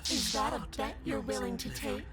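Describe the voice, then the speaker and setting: An actress playing a menacing, possessive captive creature in a horror game, speaking with smug, theatrical mockery. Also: female voice, sits high, expressive, normal speed. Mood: gloating, contemptuous. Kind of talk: video game voice acting.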